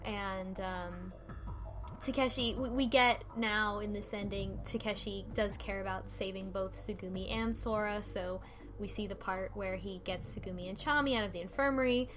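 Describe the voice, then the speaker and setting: A woman's voice: mid-range voice, moderate pace, music in the background. A woman hosting a casual book club discussion, retelling a story in a rambling, conversational way and loosely quoting characters. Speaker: podcast host recapping a story.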